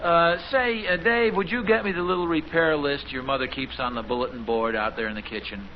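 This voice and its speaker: An actor playing a middle-aged American suburban dad in a 1950s radio sitcom, proud, mildly defensive, with a hesitant, folksy, dry manner. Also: male voice, fairly high pitch, brisk, close to an everyday voice. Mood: self-satisfied. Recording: muffled, noisy 1950s radio recording.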